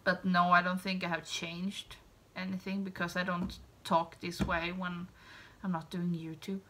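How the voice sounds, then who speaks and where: A woman with a Swedish accent, Swedish being her first language, talking casually to camera. Her light, bright voice moves at an unhurried pace. She rambles and hesitates, often trailing off into a soft hush. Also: on the low side, little pitch movement. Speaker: beauty YouTuber. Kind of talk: casual tag video chat.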